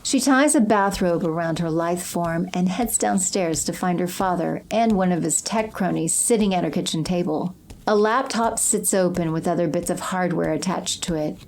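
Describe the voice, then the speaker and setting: Woman narrator reading an audio drama script, kinda low voice, noisy ambience behind her. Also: moderate pace, a little mellow.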